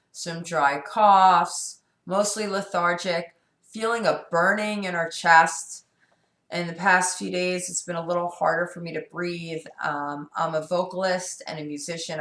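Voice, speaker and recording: A woman's voice: on the low side, fairly bright tone. A middle-aged woman from New York with a sore, scratchy throat, talking candidly and rambling in a casual vlog. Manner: rambling, candid, frequent fillers and restarts. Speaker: vlogger. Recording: echoey room.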